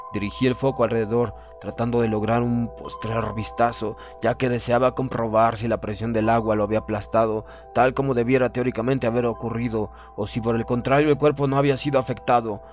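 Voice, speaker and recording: Measured male horror narrator over music. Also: fairly low pitch, darkish tone. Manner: measured, formal, dramatic. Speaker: audiobook narrator. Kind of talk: scripted horror story narration, first person.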